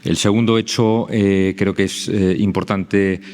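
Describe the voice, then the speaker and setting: Deep monotone guy talking fast at a tribute, big echoey hall. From Spain. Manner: measured, with frequent eh fillers. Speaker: cardiologist giving a testimony. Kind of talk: formal tribute speech. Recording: long hall reverb.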